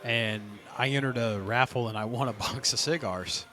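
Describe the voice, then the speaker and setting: A man hosting a podcast, chatting in a relaxed, easygoing way about his own experiences. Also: mid-range voice, normal speed. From North Carolina. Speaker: podcast host. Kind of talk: casual conversation.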